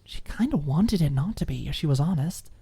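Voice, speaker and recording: A woman's voice: sits low, lively intonation, fairly quick, mellow, breathy edge, no room sound. A woman narrating a scripted story in an ASMR audiobook style.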